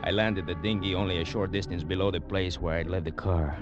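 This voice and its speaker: An actor playing a courteous, scheming Cuban police lieutenant with a Cuban Spanish accent and formal phrasing, in 1950s radio adventure style. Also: male voice, low voice, fairly even intonation, fairly quick, dull audio, over loud music, lightly acted. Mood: narrating. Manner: formal, polite, over-apologetic phrasing. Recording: noisy old radio broadcast recording.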